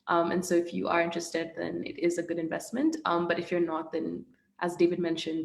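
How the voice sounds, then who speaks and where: A young woman, a native Swahili speaker, answering student questions in a friendly, thoughtful way with many pauses. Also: lowish voice, gravelly. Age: young adult. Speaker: student panelist. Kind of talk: casual Q&A answers. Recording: echoey room.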